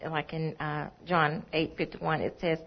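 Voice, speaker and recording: A woman's voice: deep voice, flat delivery, measured pace. A woman in the audience politely asking the preacher a question, a little hesitant. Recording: dull, muffled, from far off the mic.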